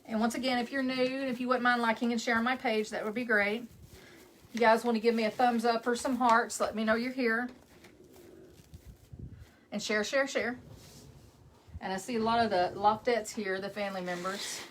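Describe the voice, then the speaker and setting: A woman's voice: fairly high pitch, steady tone, moderate pace, pauses often, slight background noise. A woman with a West Texas accent hosting a live crafting stream from home, chatting casually and greeting viewers by name as she works. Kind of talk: casual chat while crafting.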